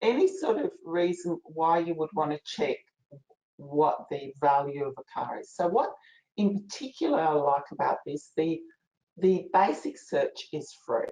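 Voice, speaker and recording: A soft-spoken woman presents a legal information webinar, her voice low and quiet. She talks in a chatty, informal way with plenty of fillers. The sound comes through an echoey video call. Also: expressive, unhurried. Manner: chatty, with fillers and a ready laugh.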